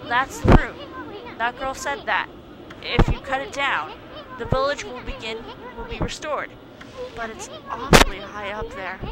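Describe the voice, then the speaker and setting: A woman voicing a tiny, brash male bug-like wandering artist from a video game, boastful, excitable and teasing. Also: extremely high pitch, very noisy, voice pushed up, exaggerated voice. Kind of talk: video game cutscene voice-over, read aloud.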